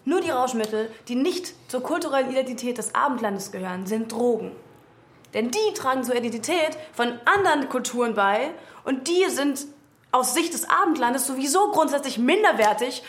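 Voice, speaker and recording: An actress playing a self-assured, sharp-tongued young woman in her twenties in a radio drama, speaking articulately. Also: high voice. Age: 20s. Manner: argumentative, sardonic, articulate.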